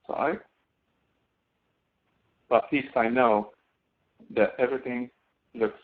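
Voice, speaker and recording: A man instructing in a screen-recorded tutorial, explaining step by step and thinking aloud as he works. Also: mid-range voice. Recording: tinny phone-like narrow-band audio.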